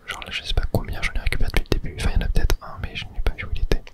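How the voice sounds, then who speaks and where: Someone whispering casual, unhurried ASMR game commentary in a breathy, unvoiced voice, close to the microphone. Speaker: host playing a video game.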